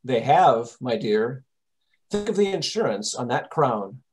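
A man playing a calm gentleman detective in an old-time radio drama, measured, reassuring and authoritative. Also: medium pitch. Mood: explaining.

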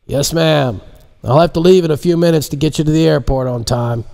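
A man voicing a courteous, brisk male helicopter pilot as a character in an audiobook. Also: fairly high pitch, vocal fry, mellow, voice pushed up. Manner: polite, brisk, professional.

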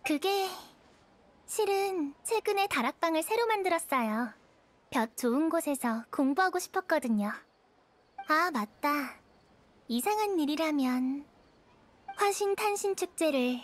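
A woman's voice: sits high, lively intonation, a little slow, pauses often. A woman voicing a polite but pointed young student in an anime game. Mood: sheepish. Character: polite youngster student turning coolly accusing.

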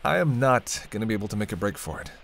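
A man's voice: on the low side, big pitch swings, quick pace, gravelly, a bit bright, full character voice. A man playing a wry, teasing vampire. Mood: wry, persuasive. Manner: bantering, wry, murmured asides. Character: teasing, sardonic male vampire, centuries-weary but protective. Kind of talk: intimate roleplay audio drama, addressing the listener.

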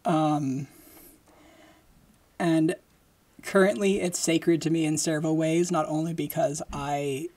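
A young adult podcast host chatting casually at home into a close studio mic, halting with fillers and restarts. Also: medium pitch. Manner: speaks slowly with lively hand gestures. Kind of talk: casual solo podcast chat. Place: decorated home room, indoors. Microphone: large studio mic close in front.

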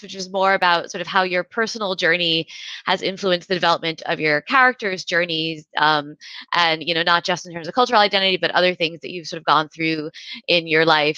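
Woman moderator on a headset, bright and smooth voice, dull, dry call audio. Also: lowish voice, no echo. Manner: relaxed, hedging with "sort of" and "um". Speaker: panel moderator. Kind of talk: moderating a panel. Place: home room on a video call.

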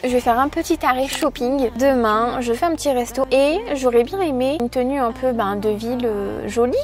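High-voiced young woman vlogging. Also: normal speed. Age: young adult. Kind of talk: casual chat to camera. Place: small furnished room at home, later inside a parked car. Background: background music.

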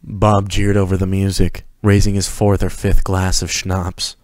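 Deep-voiced man narrating a story. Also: little pitch movement, unhurried, darkish tone, dry recording. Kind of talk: scripted fan fiction narration.

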